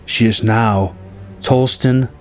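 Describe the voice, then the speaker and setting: A man telling a film story as a narrator, with a dramatic delivery and pauses for suspense. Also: mid-range voice, fairly even intonation. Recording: music bed under the voice. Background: music.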